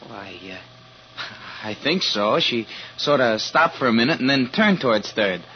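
Male, medium pitch, actor playing deferential elevator operator, 1940s radio style, dull vintage sound. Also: expressive, normal speed, a little hiss or hum, close to an everyday voice. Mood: obliging. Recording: very muffled 1940s radio recording.

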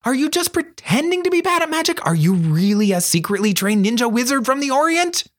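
A man voicing an excitable, bossy young schoolgirl with a breathless, demanding, dramatic manner in a storybook reading. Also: very high-pitched, normal speed, pitched above the performer's own voice, full character voice. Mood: excited, demanding. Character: excitable, bossy young schoolgirl who loves adventure stories.

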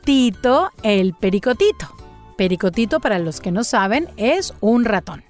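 A woman's voice: a little high, sing-song, a little slow, faint music behind. A woman hosting a children's story channel, running a playful quiz and praising each answer in a coaxing way.

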